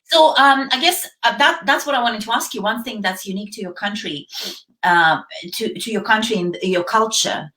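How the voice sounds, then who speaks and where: A woman's voice: medium pitch, moderate pace, sharp tone, rough recording. A woman hosting a casual live-stream interview, enthusiastic and rambling through her questions with many fillers. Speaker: host.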